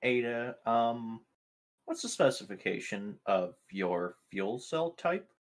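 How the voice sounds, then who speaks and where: A man playing a tabletop roleplaying game, asking questions in a casual, methodical way with hesitant pauses. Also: mid-range voice, sing-song.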